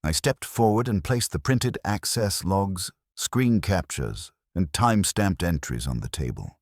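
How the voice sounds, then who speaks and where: A man with an extremely deep, husky voice narrates a mystery story in a deliberate, measured way. His pitch moves in a lively fashion through the prose, and the recording is dry and close. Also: no echo. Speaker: audiobook narrator. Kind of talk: scripted dramatic detective story narration.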